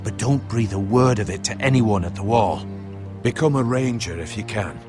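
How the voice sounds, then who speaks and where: An actor playing a grave, trusting counsellor of a noble house in a fantasy game, speaking in a measured, guarded, solemn way. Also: male voice, on the low side, music in the background, lightly acted. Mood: secretive, grave. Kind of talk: dramatic fantasy game acting.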